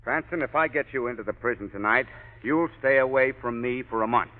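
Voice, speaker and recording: An actor in a 1940s radio drama playing a gruff, irritable police commissioner who blusters and snaps, on a lo-fi old broadcast. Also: male voice, medium pitch, brisk, natural voice. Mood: exasperated. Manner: blustering, exasperated.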